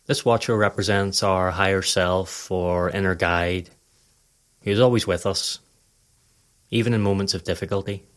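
Low-voiced man guiding a meditation. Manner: calm, measured, instructional. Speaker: guided meditation narrator. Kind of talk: scripted guided meditation narration.

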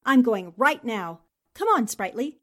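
A high female voice from an actress plays a principled newspaperwoman in a forties radio drama. She is articulate and courteous, with a firm, assertive way of pressing her questions. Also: sing-song, measured pace, background noise. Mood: resolute, challenging. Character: determined, principled newspaperwoman investigating a murder case. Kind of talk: 1940s radio drama acting.

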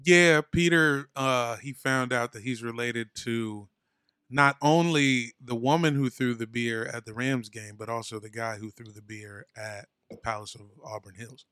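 A man's voice: mid-range voice, unhurried, clear, resonant tone, no echo. Dry-voiced joking male co-host. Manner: joking, deadpan asides. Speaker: podcast co-host. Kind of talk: casual comedic chat.

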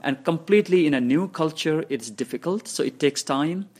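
A man from Bhutan whose first language is Nepali, giving measured interview answers about his refugee resettlement work. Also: on the high side, darkish tone. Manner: measured, explanatory, counts points off in order.

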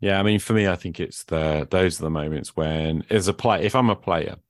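A man's voice: low-pitched, brisk, no echo, clean studio sound. A man hosting a podcast in a casual, conversational and musing way. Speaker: podcast host.

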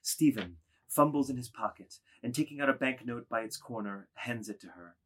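A man reading stage directions as the narrator of a literary drama, in a measured scripted delivery. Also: medium pitch, expressive, fairly bright tone, a little hiss or hum.

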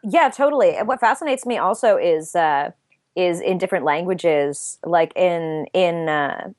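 A young adult woman guest talking thoughtfully in an interview, with a soft, soothing voice and frequent restarts. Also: lowish voice, measured pace, no room sound, studio quality. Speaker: interview guest, writer and voice actor. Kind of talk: casual interview chat.

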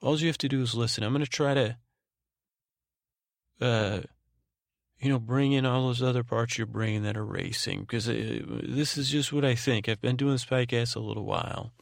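Creaky-voiced man rambling soothingly. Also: medium pitch, no room sound, studio quality. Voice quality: soothing, soft-spoken drone. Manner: meandering, halting, repeats and trails off. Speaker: host of a sleep podcast. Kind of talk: rambling bedtime monologue meant to lull.

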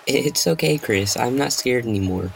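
A man's voice: mid-range voice, animated, moderate pace, gravelly, slight background noise, voice pushed up, poor audio. A man voicing a boy, the offhand younger brother in an amateur horror story. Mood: unusually calm.

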